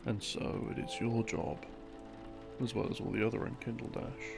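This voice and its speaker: A man telling lore as a soft, rambling sleep-aid story, breathy and often sinking into a murmur, in halting short phrases. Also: medium pitch, steady tone. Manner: halting, broken into short phrases with long pauses. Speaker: audio roleplay narrator, playing a boyfriend explaining game lore. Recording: music behind the voice. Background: soft background music.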